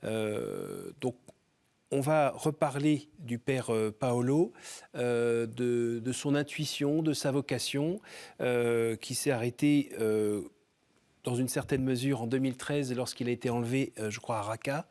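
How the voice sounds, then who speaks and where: A man hosting a spiritual talk show in a television studio. He introduces his guest and asks questions in a halting way, with frequent pauses. His voice sits at a middle pitch. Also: expressive, a little mellow. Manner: halting, many filler pauses.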